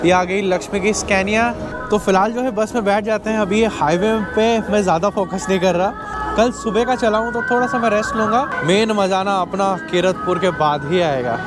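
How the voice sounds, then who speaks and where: A man in his thirties speaks in a notably high voice. He chats casually as a travel vlogger on the road at night, with music behind his words. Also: moderate pace. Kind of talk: casual travel vlog narration. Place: out of doors at night and aboard a bus.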